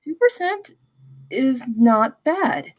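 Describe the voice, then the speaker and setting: A woman reading the part of an anxious, awkward young woman in a screenplay table read, halting and stammering. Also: high-pitched, big pitch swings, no room sound, exaggerated voice. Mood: hesitant, puzzled.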